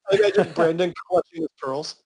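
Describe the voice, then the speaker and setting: A man's voice: a little high, normal speed, a little mellow, husky, rough recording. A man co-hosting a podcast in casual comic banter. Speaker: podcast co-host.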